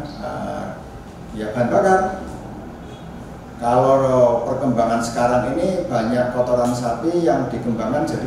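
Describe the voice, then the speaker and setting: Heard from a distance in a very echoey room with clear background noise, a man lectures. He explains ideas informally and deliberately, punctuating his phrases with short check-in tags at an average pitch. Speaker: university anthropology lecturer.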